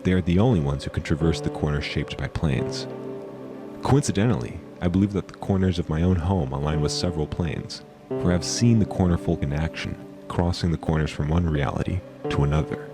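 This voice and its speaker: A man playing a solemn, eccentric documenter of otherworldly beings in a horror series, speaking in a formal, measured, expository way. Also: deep voice, music in the background. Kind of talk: horror series scripted monologue.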